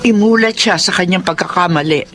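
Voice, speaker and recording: A woman's voice: lowish voice, fairly quick, a bit bright, faint music behind, lightly acted. An actress playing a pious, disapproving middle-aged mother in a Filipino radio drama. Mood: worried, grave. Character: worried, pious, disapproving mother of grown daughters.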